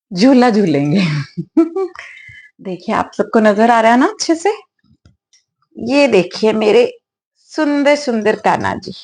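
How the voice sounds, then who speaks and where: A woman with a slightly hoarse throat, clearing it now and then, talking gently and affectionately in a casual devotional vlog at her home altar. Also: medium pitch. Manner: gentle, affectionate, preachy at times. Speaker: devotional vlogger.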